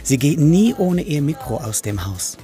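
A man's voice: fairly high pitch, very animated, normal speed, mellow. Man narrating a documentary over music.

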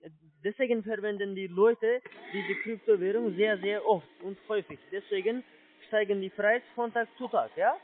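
A man with a foreign accent, not a native German speaker, giving a halting phone sales pitch and often checking he is followed. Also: fairly even intonation. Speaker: telephone sales agent, scammer. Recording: muffled low-fidelity phone line.

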